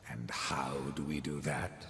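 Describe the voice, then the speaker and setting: Casting: brooding game antihero rider, extremely deep, gravelly, terse, commanding. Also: male voice, steady tone, a little slow, a little hiss or hum, full character voice. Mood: skeptical, impatient. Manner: terse, dry. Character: grim, brooding supernatural horseman, dry and commanding. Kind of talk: video game cutscene acting. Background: loud music.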